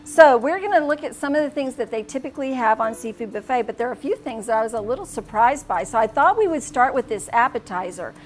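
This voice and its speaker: A woman's voice: a little high, animated, fast talker, few pauses. Chatty woman hosting over dinner. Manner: chatty, upbeat, leads the talk. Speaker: host. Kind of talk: casual dining chat. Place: outdoor restaurant patio.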